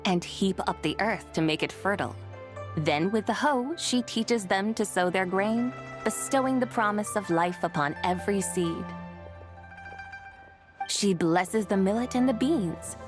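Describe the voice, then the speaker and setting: A dignified immortal lady from a video game, voiced by a woman. Her mid-pitched speech is formal, lofty and measured, with an old-world graciousness and faint music underneath. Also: expressive, natural voice. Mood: reverent.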